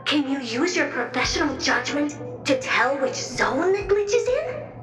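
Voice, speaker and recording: A woman's voice: high-pitched, sing-song, unhurried, echoey room, far from the mic, over loud music. A woman playing a timid, eager talking mirror, a deferential and dramatic comic assistant in a video game. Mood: earnest, deferential. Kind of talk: anime-style video game voice acting.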